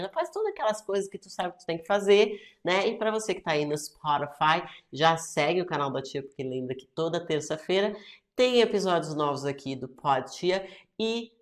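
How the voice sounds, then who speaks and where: A woman in her forties with a Brazilian Portuguese accent, hosting her own podcast in a chatty way, switching between Portuguese and English. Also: sits low. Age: 40s. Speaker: podcast host and English teacher. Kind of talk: casual solo podcast talk.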